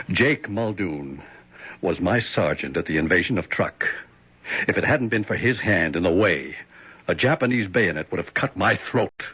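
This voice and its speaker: A man's voice: low-pitched, expressive, a little slow, breathy edge, no room sound, exaggerated voice. Stern, blustering congressman father. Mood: grave. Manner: commanding, blustering. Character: stern powerful older congressman father, self-righteous. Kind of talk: 1970s radio drama acting. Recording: dull old radio broadcast.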